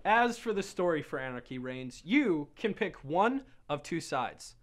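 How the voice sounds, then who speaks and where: A man's voice: fairly high pitch, sing-song, measured pace, a bit bright. A man narrating a game review in a playful, sarcastic way.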